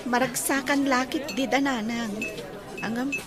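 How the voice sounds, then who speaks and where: An actress with an Ilocano Filipino accent playing a sweet-tempered young student in a radio drama. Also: female voice, high voice, fairly even intonation, measured pace, crowd noise. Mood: chatty.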